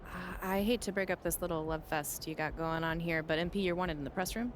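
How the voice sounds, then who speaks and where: A woman playing a brisk, supportive American sports publicist in a game cutscene, giving direct, businesslike coaching. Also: lowish voice, steady tone, noisy background, natural voice. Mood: brisk, urging. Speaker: video game actor playing a supporting character. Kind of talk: naturalistic cutscene acting.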